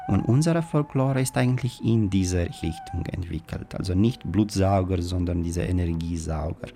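A man with a Romanian accent explaining as an interviewed historian, in halting non-native German with grammar slips. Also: low voice, huge pitch swings, over music.

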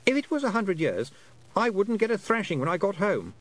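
Nervous twelve-year-old boy, rambling and hesitant, high and swooping, as read by a male narrator. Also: sing-song, a little fast, poor audio. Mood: anxious.

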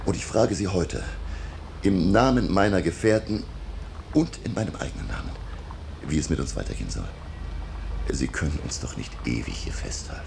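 A gentleman professor and captive at sea, in a very low, gravelly voice with lively swings of intonation. He tells his ordeal in measured literary radio-drama style, over clear background noise. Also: heavily acted. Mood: indignant. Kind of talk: German radio drama narration and acting.

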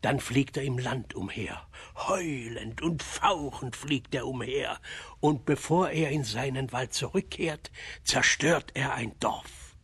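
A man's voice: medium pitch, takes it slowly, a bit bright, breathy edge, no echo, heavily acted. An actor playing a distraught village father in a children's radio play, telling things in a plaintive, dramatic way. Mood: grim, warning.

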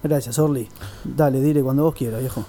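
A man's voice: medium pitch, fairly even intonation, brisk, mellow, lightly acted. A man playing a vain, breezy television actor with an Argentine accent, casual and slangy, in a radio comedy. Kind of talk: comic radio play acting.

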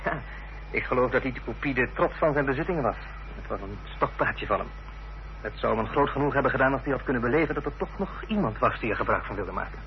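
Radio play role: jovial young male solicitor, played by an actor, mid-pitched, genial country-squire air, hissy muffled archive sound. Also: expressive, quick pace, close to an everyday voice. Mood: jovial, fond. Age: young adult. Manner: genial, easygoing, gentlemanly. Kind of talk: radio drama acting. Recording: muffled, noisy old radio play recording.

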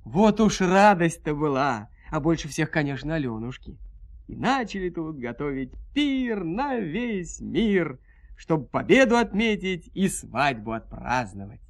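Expressive, higher-pitched male fairy-tale storyteller. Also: measured pace, no echo. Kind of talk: dramatic scripted fairy-tale narration.

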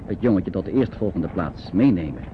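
An actor playing the sober narrator of a grim wartime radio play, reading scripted narration. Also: male voice, sits low, expressive, fairly quick, phone-quality sound. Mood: grave. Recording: thin, noisy old radio recording.

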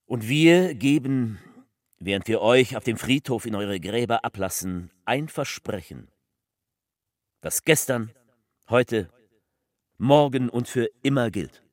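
Casting: a fervent male orator for radio drama, emphatic, pausing for weight, highly animated pitch. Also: no room sound, studio quality. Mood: grave, insistent. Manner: declamatory, deliberate pauses, emphatic swells and drops. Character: fervent male politician delivering a rambling, faltering public speech. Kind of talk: theatrical political oratory.

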